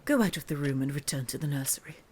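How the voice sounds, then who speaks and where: A woman's voice: low-pitched, animated, fast talker, a little mellow, breathy edge. A woman playing a cold, haughty, imperious guardian aunt with formal speech in an audio drama. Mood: icy anger.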